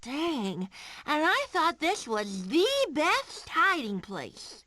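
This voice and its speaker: High, swooping little critter kid. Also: female voice, big pitch swings, slow, bright, forceful tone, a little hiss or hum, heavily acted. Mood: sulky. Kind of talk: animated video game voice clips.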